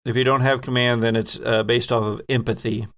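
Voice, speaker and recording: A man's voice: medium pitch, flat delivery, brisk, fairly bright tone. A man acting as game master, narrating a tabletop horror roleplaying game and explaining the rules to players.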